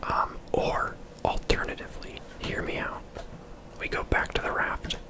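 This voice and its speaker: Casting: ASMR game streamer, fully whispered, casual and chatty play-by-play. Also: unhurried.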